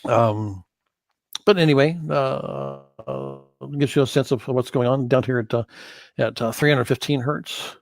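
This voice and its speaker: A man giving an informal screen-share tutorial as an instructor, thinking aloud in a halting way and correcting himself as he goes. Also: mid-range voice, creaky. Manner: halting, hesitant delivery with self-corrections. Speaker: course instructor in church sound.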